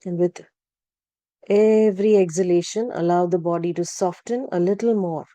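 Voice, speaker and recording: A woman leading a guided relaxation practice, speaking calmly and gently, with unhurried instructions and long pauses. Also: fairly low pitch, no echo. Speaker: yoga nidra guide. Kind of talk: guided meditation narration.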